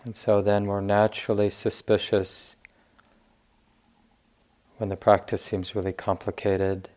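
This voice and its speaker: A man teaching meditation, giving gentle guided instruction in short phrases with long pauses. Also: fairly low pitch, little pitch movement.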